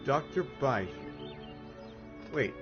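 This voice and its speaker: A man's voice: mid-range voice, animated, takes it slowly. A man giving casual, joking and rambling live commentary while playing a game. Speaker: livestreamer. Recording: dull lo-fi audio, loud music. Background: game music.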